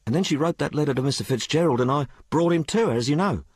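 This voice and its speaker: A man voicing a rough, frank working-class young woman in an audiobook, blurting out her tale in colloquial, ungrammatical speech. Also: fairly high pitch, lively intonation, quick pace, a little mellow, no echo, studio quality, pitched above the performer's own voice. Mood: frank, gossipy. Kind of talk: audiobook character dialogue.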